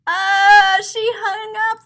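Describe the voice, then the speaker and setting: A woman reading a picture book aloud to young children in an expressive, playful way, pausing to explain things simply. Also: very high-pitched. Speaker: storyteller and teacher.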